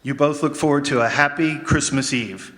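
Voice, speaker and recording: An actor playing a kindly, calm, mysterious doctor in a live radio drama, speaking in a gentle, formal, reassuring way. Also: male voice, fairly high pitch, normal speed, natural voice. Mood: warm, reassuring. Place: live performance in a campus hall. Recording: echoey hall, music behind.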